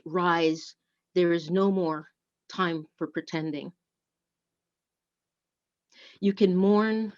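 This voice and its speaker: A woman leading a church service, speaking and reading in a measured, deliberate way. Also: mid-range voice, darkish tone. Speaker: worship service leader. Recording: dull, lo-fi online audio.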